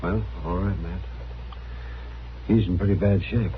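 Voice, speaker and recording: An actor playing a somber, plainspoken frontier doctor, grave and protective, in a radio western. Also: male voice, sits low, steady tone, measured pace, a little hiss or hum. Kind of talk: 1950s radio drama acting. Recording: dull vintage radio recording with background music.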